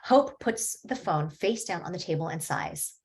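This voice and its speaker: A woman reading stage directions at a table read in a plain, even way. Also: lowish voice, normal speed.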